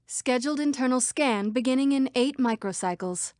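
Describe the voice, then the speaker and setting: A woman's voice: sits high, moderate pace, a little mellow, no room sound, studio quality, lightly acted. An actress voicing female computer program citizens in a scripted video game. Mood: calm official announcement. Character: female computer program citizens, by turns frightened, defiant or official.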